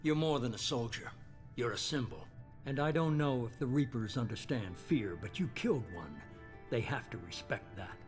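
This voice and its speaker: An actor playing a calculating, self-assured leader of a secretive organization, speaking in a measured, persuasive way in a video game. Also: male voice, medium pitch, animated, lightly acted. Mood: assured, commanding. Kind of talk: video game cutscene acting. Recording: music behind the voice.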